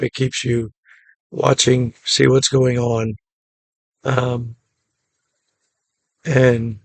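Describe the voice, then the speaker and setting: A man with a deeply gravelly, hoarse voice that has been worn down by a cough. He speaks in a flat, even monotone at a middle pitch and goes through a trade recap in a relaxed, matter-of-fact way. His voice is close and dry, with no room sound around it. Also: no room sound. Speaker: day trading channel host. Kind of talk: casual screen-share trade recap.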